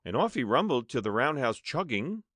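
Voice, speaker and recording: A man's voice: mid-range voice, very animated, moderate pace, a bit bright, dry recording, clean studio sound. A man narrating a children's story expressively, with dramatic emphasis as an audiobook narrator.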